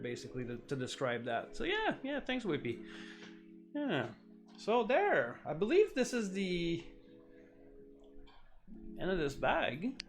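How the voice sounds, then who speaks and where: A man with a somewhat high voice, whose first language is French, talks casually on his live stream. He rambles with lots of fillers and restarts. Faint music plays behind him. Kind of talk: casual live-stream chat while building.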